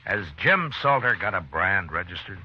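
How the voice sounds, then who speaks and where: An American actor playing a steady, weary frontier lawman in a radio western, measured and plain-spoken. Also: deep voice, a little hiss or hum, heavily acted. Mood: businesslike. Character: steady, weary frontier lawman, adult man, fair-minded. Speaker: actor and first-person narrator. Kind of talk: 1950s radio western acting. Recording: dull old radio recording, music behind.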